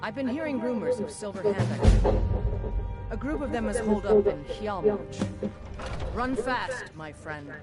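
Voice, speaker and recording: An actor playing a gruff male warrior companion in a fantasy game, giving urgent, matter-of-fact news. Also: high voice, animated, moderate pace, over loud music. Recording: game audio from far off.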